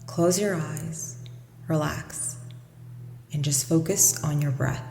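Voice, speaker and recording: A woman with a low voice guides a meditation over music, in long, washy reverb. She gives gentle, scripted instructions and leaves generous pauses between them. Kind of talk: guided meditation, slow soothing scripted narration.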